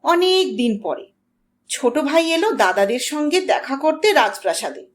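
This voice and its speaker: High-pitched, animated woman storyteller. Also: unhurried. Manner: theatrical, acting out dialogue. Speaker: audiobook narrator. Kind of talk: expressive scripted story narration.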